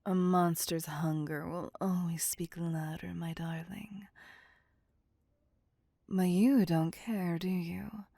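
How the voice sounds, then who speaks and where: A woman voicing a possessive, vain vampire mistress in an ASMR roleplay, breathy and often whispering, with condescending endearments. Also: on the low side, steady tone, mellow, no room sound, studio quality, heavily acted. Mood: menacing tenderness. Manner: slow, deliberate, condescending endearments. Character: possessive, vain female vampire, commanding and seductive. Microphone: close, dry microphone.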